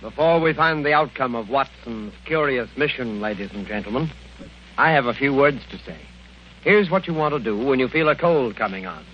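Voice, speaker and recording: A man working as a radio announcer, delivering an emphatic, salesmanlike 1940s advertisement read. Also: a little high. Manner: emphatic, measured repetition, salesmanlike. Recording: muffled, hissy 1940 radio broadcast.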